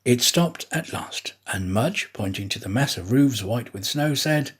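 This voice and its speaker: A man narrates an adventure story in the manner of an audiobook actor. His mid-pitched voice has a husky edge, and his intonation rises and falls widely. The delivery is deliberate and dramatic, shaped for storytelling. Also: expressive.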